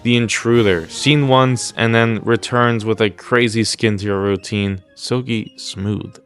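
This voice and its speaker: A man's voice: lowish voice, fairly even intonation, measured pace, fairly bright tone, clear, resonant tone, soft background music. A man hosting casual comedic video commentary, mock-serious, blunt and crude with plenty of swearing. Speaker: YouTube host.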